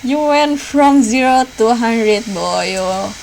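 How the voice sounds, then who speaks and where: A woman's voice: fairly high pitch, animated, takes it slowly, a little mellow, poor audio. A woman doing a casual let's play, reading game dialogue aloud and reacting with slangy interjections. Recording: clear background noise.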